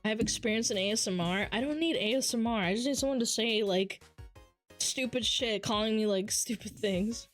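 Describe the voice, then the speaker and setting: A woman's voice: a little high, fairly quick, faint music behind. A woman with a nasal voice, chatting casually on a livestream and reading viewer comments in a playful, teasing way. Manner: playful, teasing banter with frequent laughs.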